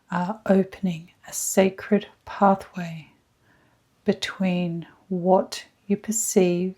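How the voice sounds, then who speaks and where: Very slow, soft woman guiding meditation. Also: lowish voice. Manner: hushed, soothing, deliberate phrasing with frequent pauses. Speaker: guided meditation leader, energy healer. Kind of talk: slow scripted guided meditation.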